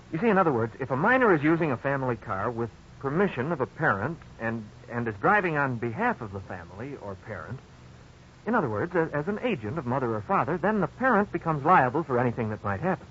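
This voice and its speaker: An earnest man narrates his youthful blunder in a 1950s radio drama. He is folksy and lively in pitch, on a muffled, faintly noisy old recording. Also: fairly quick. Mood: reflective, explanatory. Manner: folksy, expressive storytelling.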